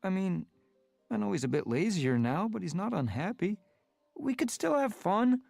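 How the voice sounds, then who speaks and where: A man putting on a lazy, squeaky house tomcat. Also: very animated, normal speed, creaky, darkish tone, no echo, soft background music, full character voice. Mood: worried. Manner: high eager squeaky mewing.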